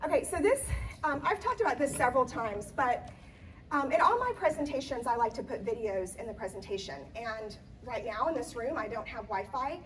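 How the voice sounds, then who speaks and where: A woman event planner, slightly hoarse, giving a chatty live talk in a conference room with many ums and restarts. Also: on the high side, big pitch swings, a little fast, a bit bright, distant mic, a little hiss or hum, rough recording. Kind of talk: live presentation to an audience.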